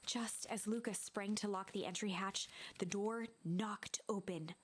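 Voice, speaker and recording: A woman narrating a video game like a storybook, in a measured, dramatic way. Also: mid-range voice, fairly even intonation, noisy background, lightly acted.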